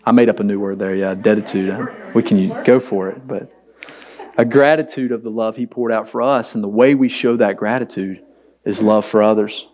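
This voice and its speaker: Hoarse pastor, raspy low voice, earnest and chatty sermon. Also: male voice, darkish tone. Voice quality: raspy, deep. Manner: conversational, earnest, emphatic. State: hoarse from preaching late the night before.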